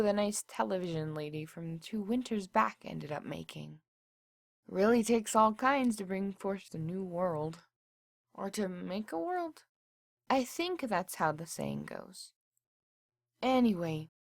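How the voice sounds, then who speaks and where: Audio story: female actor as small-town hotel clerk, mid-pitched, chatty and mildly judgmental, close dry recording. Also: creaky, no room sound. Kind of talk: first-person diary narration in an audio story.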